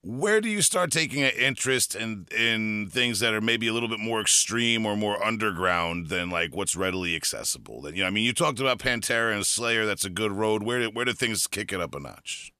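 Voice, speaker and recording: Man, bright tense tone, dry close sound, friendly chatty host. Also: fairly low pitch, animated, a little fast, few pauses, smooth, dry recording. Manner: friendly, chatty, laughs easily. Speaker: podcast host. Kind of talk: casual interview.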